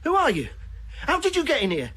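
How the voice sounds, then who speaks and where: A man's voice: extremely high pitch, huge pitch swings, brisk, pushed and bright, a little hiss or hum, full character voice. An actor playing an earnest, hesitant, rather naive young man in a radio drama. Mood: bewildered, startled. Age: young adult. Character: earnest, bewildered young man, volunteer in a medical trial.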